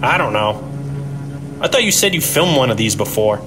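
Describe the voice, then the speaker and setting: A man's voice: medium pitch, sing-song, moderate pace, over music, close to an everyday voice. An actor playing a casual, flippant film cameraman in a radio drama.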